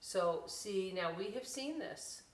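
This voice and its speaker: A middle-aged woman hosts a children's read-aloud at a slow, deliberate pace. She stresses key words and drops into folksy, chatty asides to explain them simply. Her voice sits back from the microphone in a roomy, echoing space. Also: mid-range voice, animated. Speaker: library storytime host reading aloud. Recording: roomy echo, distant microphone.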